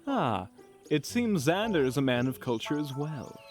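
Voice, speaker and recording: A man's voice: on the high side, sing-song, normal speed, soft background music. A man playing a refined, slightly pompous travelling companion in an audio roleplay. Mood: dry, cultured.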